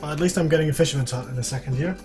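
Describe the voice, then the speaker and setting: A man's voice: a little high, very fast, a little mellow. A man casually commentating as he plays a game on a live stream, hosting a guest. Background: background music.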